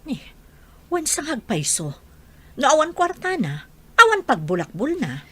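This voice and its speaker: A woman's voice: high-pitched, wildly swooping pitch, measured pace, heavily acted. A woman playing a stern, worried middle-aged mother, scolding and questioning in an amateur audio drama. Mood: troubled.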